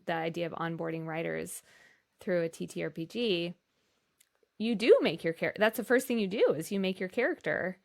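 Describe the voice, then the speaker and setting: A woman co-hosting a podcast, talking in a casual, enthusiastic and effusive way. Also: fairly low pitch. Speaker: podcast co-host. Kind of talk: casual conversational discussion.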